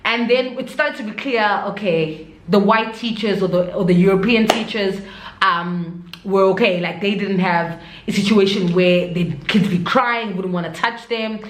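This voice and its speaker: A woman's voice: mid-range voice, unhurried, slight background noise. A woman with a South African accent telling stories casually and expressively as a vlogger, dropping in Zulu interjections. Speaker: vlogger, expatriate teacher. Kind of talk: casual chat to camera. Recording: echoey room.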